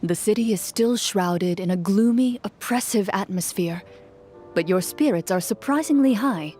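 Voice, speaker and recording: A woman voicing a composed, formal game master who narrates a story in measured tones in a video game. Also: mid-range voice, dark tone, soft background music, natural voice.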